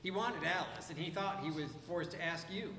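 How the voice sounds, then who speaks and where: A man's voice: a little high, brisk, noisy background, close to an everyday voice. Man acting a prim, snide fellow, echoey. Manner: prim, caustic. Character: prim, snide, disapproving brother-in-law. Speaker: radio player. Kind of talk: stage re-creation of old radio comedy. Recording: echoey hall, audience crowd behind.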